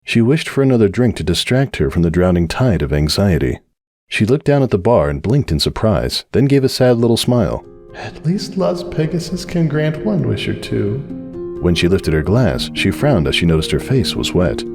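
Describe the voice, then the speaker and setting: Expressive low male narrator. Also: brisk, soft background music. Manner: expressive storytelling. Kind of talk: dramatic audiobook reading.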